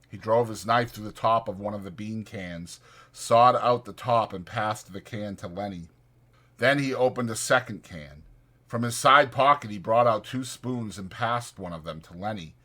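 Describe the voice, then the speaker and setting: A man's voice: fairly low pitch, normal speed, sharp tone. A man reading a novel aloud as a scripted, dramatic audiobook narration. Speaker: audiobook reader.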